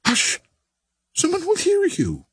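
A man's voice: medium pitch, huge pitch swings, moderate pace, darkish tone, husky, dry recording, studio quality, pitched above the performer's own voice, full character voice. A man voicing a petulant, easily alarmed young society woman in an audiobook. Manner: sweetly sarcastic, pleading, exclaiming.

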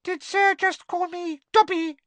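A man doing Winky, a squeaky timid elf. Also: little pitch movement, unhurried, bright, forceful tone, no echo, heavily acted. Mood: curious. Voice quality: teeny quivering squeak. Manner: broken grammar, deferential, constantly says sir. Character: tiny timid female house elf servant.

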